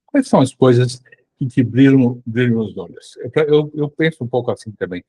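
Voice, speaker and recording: Man, Brazilian accent, medium pitch, husky voice, casual interviewer, halting repeated starts. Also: expressive, warm tone, no room sound, poor audio. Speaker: interviewer, host.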